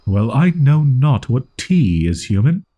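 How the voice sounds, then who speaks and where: A man voicing a shy, proud young wolf-boy in an audio roleplay, speaking in archaic formal phrases with halting, flustered stammers. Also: medium pitch, big pitch swings, husky, lo-fi sound, exaggerated voice. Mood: cautious, savoring. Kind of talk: audio roleplay, character acting, ASMR.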